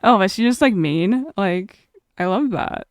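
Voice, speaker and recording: Chatty young woman streamer, smooth voice. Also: mid-range voice, expressive, unhurried, no room sound. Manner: chatty, laughs often, reads chat aloud. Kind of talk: casual live-stream chat.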